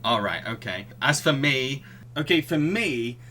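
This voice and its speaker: Bright-voiced man hosting, lively intonation. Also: mid-range voice, a little slow, a little hiss or hum. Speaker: podcast host. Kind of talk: casual film chat.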